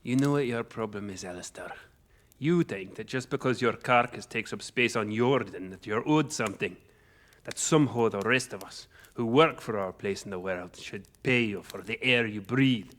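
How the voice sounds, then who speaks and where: A man's voice: on the low side, normal speed, fairly bright tone, natural voice. An actor playing a stern, self-righteous farmer father with formal speech in a fantasy audio drama. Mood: scornful. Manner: contemptuous, formal.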